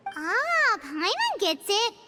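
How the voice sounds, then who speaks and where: A woman voicing a tiny, chirpy, childlike fairy companion in an anime-style video game, squeaky and exclamatory. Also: wildly swooping pitch, takes it slowly, heavy background noise, full character voice. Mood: curious, eager. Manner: squeaky, exclamatory, speaks of herself in third person.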